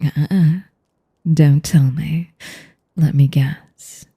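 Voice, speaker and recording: A woman playing an elegant, manipulative vampire in an intimate audio roleplay, with a whispery voice and a slow, teasing, mock-polite manner. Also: low voice, fairly even intonation, warm tone, heavily acted. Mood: smug, condescending. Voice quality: breathy, whispery. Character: sadistic, elegant, manipulative female vampire noble.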